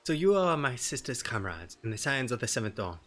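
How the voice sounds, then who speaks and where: A man voicing a formal, solemn noblewoman from a fantasy game in measured, archaic diction. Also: medium pitch, big pitch swings, a bit bright, soft background music.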